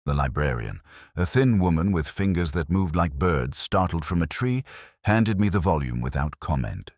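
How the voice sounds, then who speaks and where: Very low voiced guy reading a detective story, slow thoughtful first-person narration, lots of pitch movement. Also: lively intonation, darkish tone, no room sound, studio quality. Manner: measured, reflective.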